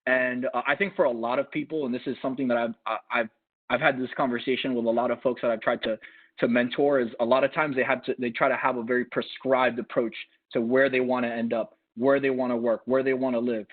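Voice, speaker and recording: A man, Cuban-born and Miami-raised, tells his career story in a loose, conversational panel style. He often restarts and circles back on his own phrases. His voice sits at an ordinary pitch and comes through a tinny video call line. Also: level tone, quick pace. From Cuba, raised in Miami. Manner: rambling, many restarts and fillers.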